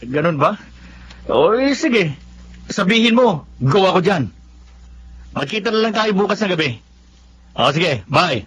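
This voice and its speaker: A man's voice: high-pitched, big pitch swings, measured pace, pauses often, a bit bright, breathy edge, full character voice. A man with a Filipino accent playing a glib, carefree young office worker who lies easily in a radio drama. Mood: bluffing, casual. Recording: muffled audio with faint background noise.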